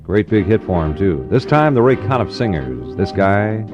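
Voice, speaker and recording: A man's voice: low-pitched, sing-song, moderate pace, over loud music. An American man working as a 1960s middle-of-the-road radio DJ, chatting in an easygoing, folksy way. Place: radio studio. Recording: dull, roomy 1960s AM radio aircheck.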